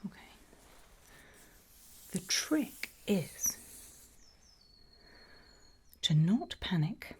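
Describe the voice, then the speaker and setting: A British woman whispering a creepy ASMR roleplay as a calm, reassuring gravedigger, hushed and matter-of-fact with long pauses. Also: mid-range voice, slightly rough.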